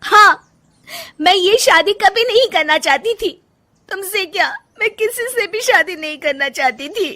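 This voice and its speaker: A resentful young wife as a woman storyteller puts her on, with a very high, emotional voice. She speaks plaintively and openly, an unhappy daughter-in-law in a moral tale. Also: expressive, measured pace, sharp tone, full character voice. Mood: angry, upset. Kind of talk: Hindi moral story character voice.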